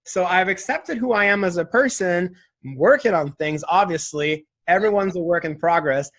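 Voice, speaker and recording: Higher-pitched young man, earnest. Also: moderate pace, fairly bright tone. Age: 20s. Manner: earnest, frequent "like" and "right?". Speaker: interview guest. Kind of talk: casual reflective interview talk.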